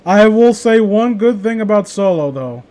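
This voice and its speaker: A man's voice: high-pitched, lively intonation, moderate pace, darkish tone. A man chatting casually as a co-host, rambling and swearing offhandedly. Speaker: co-host of an online read-along chat. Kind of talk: casual chat.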